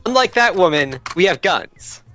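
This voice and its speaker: A man's voice: high-pitched, big pitch swings, moderate pace, bright, forceful tone. A man joining a tabletop role-playing game as a player, with casual banter. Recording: music behind the voice.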